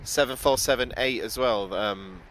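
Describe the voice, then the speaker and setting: A man's voice: medium pitch, normal speed, fairly bright tone, rough recording. A man from the UK with a British accent, giving casual, chatty live commentary as the host of a plane-spotting stream outdoors. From United Kingdom. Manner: informal, chatty. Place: outdoors by an airport. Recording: outdoor noise, aircraft and vehicle ambience. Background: outdoor urban and vehicle noise.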